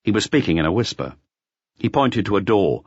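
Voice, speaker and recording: Male storyteller for crime audiobook: lowish husky voice, expressive range, dry but slightly muffled recording. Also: brisk, dry recording. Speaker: audiobook narrator. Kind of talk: scripted narration of a detective novel.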